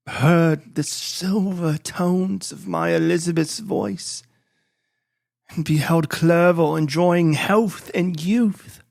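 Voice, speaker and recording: A man reads as a haunted, obsessed man telling of his vengeful hunt in a gothic audiobook. His voice sits somewhat high for a male, with solemn, literary phrasing and dramatic emphasis. The sound is very dry, with no room echo. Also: vocal fry, fairly bright tone, heavily acted. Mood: wistful longing. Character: Victor Frankenstein, tormented man recounting his obsessive vengeful pursuit.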